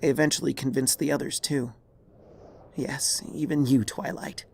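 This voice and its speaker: A man voicing a dying, regretful pony mare, a remorseful former tyrant, in a weary, halting, husky voice for a dramatic story. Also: mid-range voice, fairly even intonation, slight background noise, voice pushed up, natural voice. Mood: weary, grave. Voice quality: raspy, husky, creaky.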